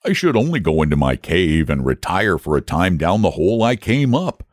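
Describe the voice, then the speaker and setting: A man's voice: sits low, sing-song, moderate pace, no room sound, clean studio sound. A man voicing a big, genteel, old-fashioned storybook dragon with a drawling, testy, fussily polite manner. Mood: smug, stubborn. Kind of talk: storybook character voice.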